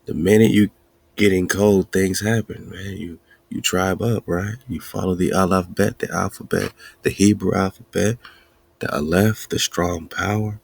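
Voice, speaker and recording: Gravelly low-voiced man preaching. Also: steady tone, moderate pace, no room sound. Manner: preachy, repetitive, chanting lists; laughs between points. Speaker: podcast host. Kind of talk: spiritual teaching talk.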